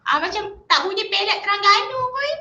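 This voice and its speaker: Bright chatty woman podcast host. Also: extremely high pitch, lively intonation, normal speed. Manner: chatty, peppers speech with "okay, alright". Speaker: podcast host interviewing a guest. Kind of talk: casual chat interview. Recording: roomy dull lo-fi sound with faint noise.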